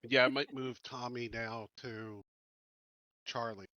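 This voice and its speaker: A man's voice: medium pitch, steady tone, takes it slowly, bright, forceful tone, no room sound, muffled recording. A man chatting casually as a player in a tabletop game group.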